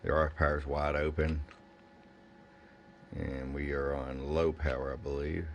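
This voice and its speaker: A man's voice: rumbling low, little pitch movement, normal speed, highs cut off. A man giving a casual bench review of gear, plain-spoken and thinking aloud as he goes. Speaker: product reviewer. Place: indoor electronics workbench. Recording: faint background noise.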